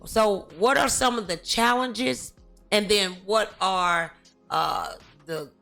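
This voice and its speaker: A woman hosting a talk show, asking questions casually in a halting, hesitant way. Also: mid-range voice, creaky, pushed and bright, soft background music. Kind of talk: casual interview chat.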